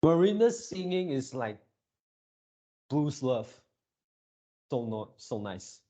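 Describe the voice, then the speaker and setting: A man hosting a reaction video with casual, off-the-cuff commentary, speaking haltingly and repeating his words and phrases. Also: mid-range voice, big pitch swings, mellow.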